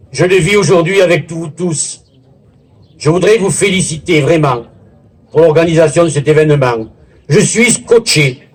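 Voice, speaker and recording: Husky, slow-speaking male orator. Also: on the high side. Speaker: local intercommunal president giving a speech. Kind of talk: formal public speech at a village festival, with literary recitation. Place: village festival in Bouisse, addressing a gathering.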